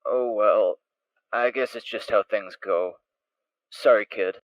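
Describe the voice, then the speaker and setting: A man voicing a laid-back adult male pony trader who talks in a relaxed, easygoing way. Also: lowish voice, takes it slowly, no echo, poor audio.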